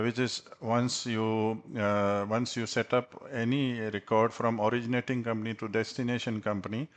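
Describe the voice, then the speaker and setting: A man presenting a software tutorial as an instructor, speaking haltingly with frequent hesitations. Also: medium pitch. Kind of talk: tutorial presentation over slides.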